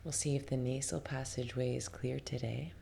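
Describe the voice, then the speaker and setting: A woman guiding an ASMR yoga relaxation, speaking softly and deliberately, often slipping into a whisper, with gentle instructions. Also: rumbling low. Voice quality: soft, breathy, often dropping into a whisper. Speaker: yoga nidra meditation guide. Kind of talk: ASMR guided relaxation and breathing practice. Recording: loud steady ambient noise.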